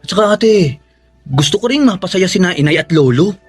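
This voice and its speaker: Casting: eager student son in radio drama; fairly high voice, polite, earnest. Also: male voice, animated, a little fast, loud music behind the voice. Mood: excited, eager. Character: grateful, eager, studious young son and brother. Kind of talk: Filipino radio drama acting.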